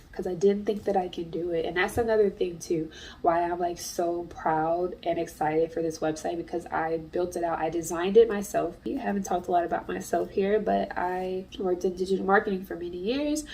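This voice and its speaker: A woman's voice: on the low side, gravelly, mellow, distant mic, a little hiss or hum, poor audio. A congested woman, an artist and small business owner, talking in a chatty, earnest way to camera in a casual vlog. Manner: chatty and earnest, many fillers. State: congested from allergies.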